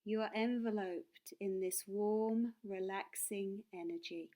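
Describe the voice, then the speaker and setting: Slow, calming woman guiding meditation. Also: mid-range voice. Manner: calm, soothing, deliberate with pauses. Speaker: meditation and yoga teacher. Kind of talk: guided meditation.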